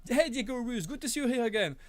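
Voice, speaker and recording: A man with a French accent, hosting a casual live-stream Q&A and answering viewer questions, with many halting restarts and self-corrections. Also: very high-pitched, expressive. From Switzerland. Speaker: live-stream host, prop maker.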